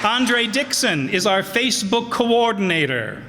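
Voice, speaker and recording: A man hosts informally, reading credits and thanks in an unhurried, high, lively voice. He is in an echoing hall with loud crowd noise around him. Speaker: host and cast member reading credits. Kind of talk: casual live curtain-call announcements. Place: town hall, live before an audience.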